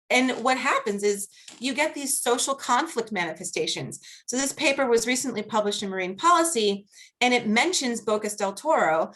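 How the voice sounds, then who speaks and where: A woman with a Boston accent speaking as a guest researcher on a video-call panel, earnest and measured, laughing easily during questions. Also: on the high side, fairly bright tone. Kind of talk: academic panel talk and Q&A.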